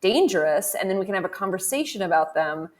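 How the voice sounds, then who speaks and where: A woman's voice: mid-range voice, animated, quick pace. A woman hosting a podcast panel, talking casually as a film curator, rambling with many fillers.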